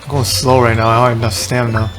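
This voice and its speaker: A man's voice: medium pitch, little pitch movement, fast talker, a bit bright. A man giving casual gameplay commentary as a streamer. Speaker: game streamer. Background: game music.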